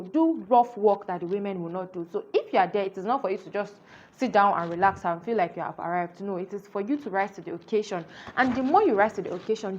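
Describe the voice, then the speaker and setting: A woman giving a casual spoken book review, earnest and explanatory, often filling pauses with "you know". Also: medium pitch, muffled recording, slight background noise.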